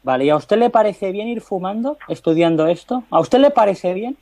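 A man's voice: high voice, lively intonation, fairly quick, gravelly, a little mellow, no echo. A man with a Spanish accent from Spain playing a stern, impatient policeman in a prank phone call, bossy, sarcastic and interrogating. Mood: stern lecturing. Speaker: prank caller and host.

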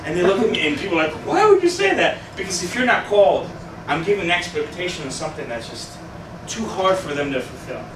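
Stuffed-up pastor in an echoey room. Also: male voice, sits high, sing-song, quick pace, noisy background. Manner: conversational, asks the audience questions, gestures widely. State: stuffed up nose. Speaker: youth pastor. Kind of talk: casual youth sermon. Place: small meeting room with a youth group. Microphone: far camera, no mic. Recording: echoey room from a distant camera.

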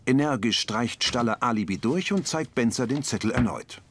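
A man reading scripted audio description for a TV series in a measured, matter-of-fact way. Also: medium pitch, expressive, noisy background. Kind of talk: scripted narration of a TV crime series. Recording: music behind the voice.

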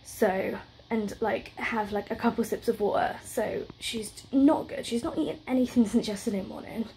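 A woman's voice: on the high side, normal speed, darkish tone, a little hiss or hum, low-quality recording. A young British woman vlogging at home in a rambling, chatty way, stressed and close to tears. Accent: British English. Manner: rambling, chatty, with many fillers and run-on stories. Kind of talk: casual chat to camera. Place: at home, indoors.

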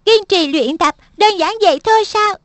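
A woman's voice: extremely high pitch, normal speed, no echo. A woman voicing a cheerful, curious schoolgirl in a dubbed cartoon, asking eager questions. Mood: bright curious. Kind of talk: cartoon dubbing.

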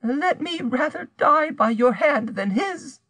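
A man's voice: very high-pitched, unhurried, darkish tone, no echo, voice pushed up. A man voicing a terrified, guilty young wife who pleads desperately in an audiobook story. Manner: desperate, imploring.

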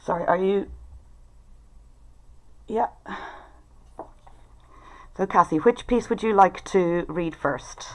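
A woman's voice: on the low side, takes it slowly, pauses often, a little mellow. A middle-aged woman informally hosting an online event on a video call from her living room, chatty and apologetic. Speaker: host of online writers' event. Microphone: video call, computer at desk distance.

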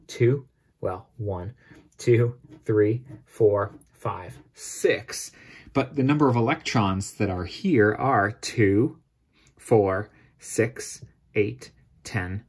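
A man's voice: medium pitch, husky. A man teaching chemistry, explaining step by step in an informal tutorial style.

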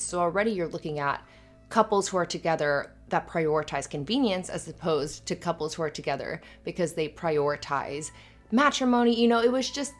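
Low-voiced, affectionate girlfriend played by a woman. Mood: thoughtful, earnest. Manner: rambling, hesitant, giggly asides. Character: affectionate, earnest, slightly anxious live-in girlfriend. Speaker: audio roleplay performer. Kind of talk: intimate girlfriend ASMR roleplay, conversational.

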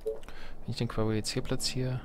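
A man's voice: medium pitch, level tone, normal speed, a little hiss or hum. A man giving casual let's play commentary on a strategy game, thinking aloud as he plays.

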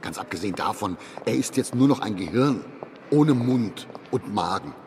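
A man's voice: lowish voice, animated, moderate pace, a little mellow. An actor in a radio drama playing a cold, curt, calculating chief of an intelligence agency, a man who does not yield. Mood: curt, dismissive. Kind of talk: modern radio drama acting. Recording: long reverb, noisy ambience.

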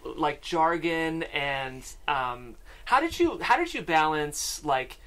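A man's voice: a little high, sing-song, slow pace, fairly bright tone. Young man host, searching for words. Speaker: panel host. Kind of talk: casual interview chat. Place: podcast studio, indoors. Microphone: desk microphone with headphones.

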